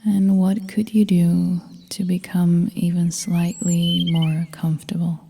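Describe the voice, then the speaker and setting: Slow, hushed woman guiding meditation. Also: on the low side. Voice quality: soft, breathy, half-whispered. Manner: calm, gentle, soothing. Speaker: yoga nidra instructor. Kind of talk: guided meditation narration. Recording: long reverb, faint noise with birdsong.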